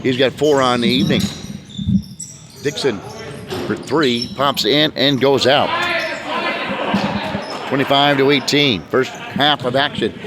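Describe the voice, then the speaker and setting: A man announcing basketball play by play in a brisk manner, calling the action as it happens. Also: medium pitch. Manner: brisk, talks over the action. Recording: loud crowd and bouncing balls behind. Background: gym crowd and basketball bounces.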